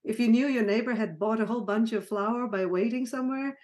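A middle-aged woman born in Sri Lanka and raised in Ghana, talking through her life story as a podcast guest, with soft laughs and frequent restarts. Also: on the high side, a little fast. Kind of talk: conversational storytelling interview.

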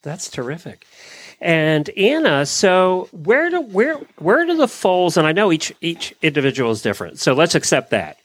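A man hosting a casual interview, asking questions in a halting way and often rephrasing them. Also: on the high side, huge pitch swings, no room sound. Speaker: interview host.

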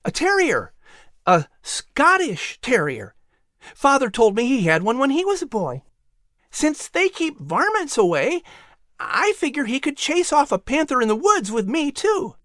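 Woman voicing an eager high-pitched young boy. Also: sing-song, a little slow, fairly bright tone, dry recording, studio quality, heavily acted. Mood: eager, persuasive. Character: nine-year-old colonial boy, eager, persuasive, sweet-natured. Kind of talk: audiobook character voice.

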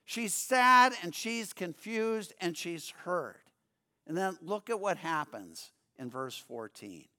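A man preaching a sermon as pastor, earnest, often stumbling and repeating words, dropping lower and quieter to read scripture. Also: animated, takes it slowly.

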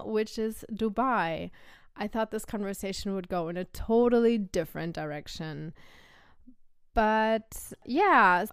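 A woman's voice: fairly high pitch, clean tone, no room sound, studio quality. A woman hosting a podcast, talking in a curious, casual way with many fillers while interviewing a guest on a call. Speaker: podcast host.

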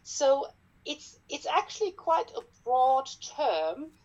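A woman's voice: sits high, sing-song, slow pace, darkish tone, dull audio. German-accented dermatologist, middle-aged woman, careful step-by-step explainer with a mid-range voice. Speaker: dermatologist, guest expert. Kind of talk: explanatory podcast interview.

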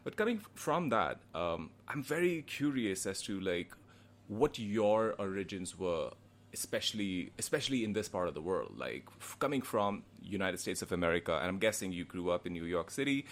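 A man's voice: deep voice, animated, normal speed. A man hosting an interview, speaking earnestly and searching for words, with many fillers. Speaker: interview host. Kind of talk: conversational interview questions.

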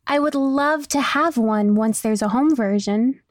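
Woman acting as chirpy volunteer, very high voice, unhurried, friendly, eager to please, audio drama. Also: no echo, clean studio sound, natural voice.

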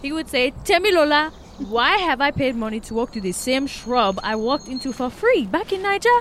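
A woman's voice: sits high, very animated, moderate pace, fairly bright tone, a little hiss or hum. An actress playing a playful, reluctant city woman in an audio drama, speaking casually with affectionate complaint. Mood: playful complaining. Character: Temi, reluctant, teasing city woman on a hike. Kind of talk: naturalistic audio drama dialogue.

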